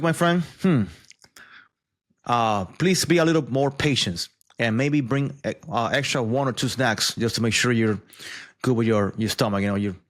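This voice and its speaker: Man giving casual news commentary. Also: medium pitch. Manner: conversational, with asides and repeated words.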